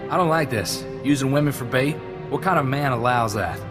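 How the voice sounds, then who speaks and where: A man's voice: medium pitch, brisk, over music, natural voice. An actor playing a protective, old-fashioned male sidekick with an earnest, defensive manner in a video game. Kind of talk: video game cutscene acting.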